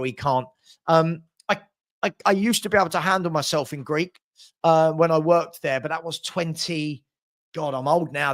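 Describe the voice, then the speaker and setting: A gravelly, fairly high-pitched man's voice, middle-aged and chatty. He hosts a live fan stream, talking casually and matily to his viewers, often doubling back on his words as he answers comments. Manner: chatty, matey, hesitant with repeated words. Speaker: host of a football fan livestream.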